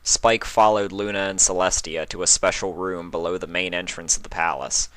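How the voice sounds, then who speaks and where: A man's voice: low-pitched, steady tone, normal speed, a bit bright, no echo. A man reading scripted story narration aloud as the narrator of a fan fiction.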